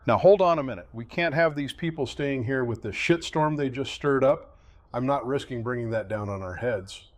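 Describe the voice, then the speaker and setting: A man's voice: mid-range voice, moderate pace, no room sound, close to an everyday voice. A man playing a self-important, cowardly settlement leader in an audio drama, pompous and sarcastic. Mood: alarmed, indignant. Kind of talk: full-cast audio drama acting.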